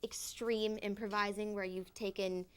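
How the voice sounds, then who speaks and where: A woman's voice: medium pitch, flat delivery, normal speed, clear, resonant tone. A woman hosting a casual interview, asking questions in a bubbly way and laughing often. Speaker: interview host.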